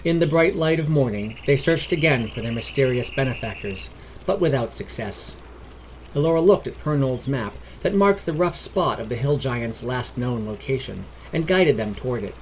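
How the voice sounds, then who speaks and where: A man's voice: medium pitch, animated, normal speed, a little hiss or hum, low-quality recording. A man hosting and narrating a scripted fantasy adventure podcast. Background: background music.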